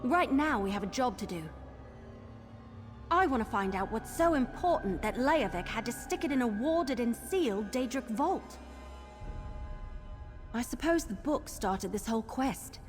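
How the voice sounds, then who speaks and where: A woman's voice: fairly high pitch, animated, a little slow, pauses often. A woman voicing an earnest, eager young adventurer in a fantasy video game, speaking in a candid, informal way. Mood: curious. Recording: music behind the voice.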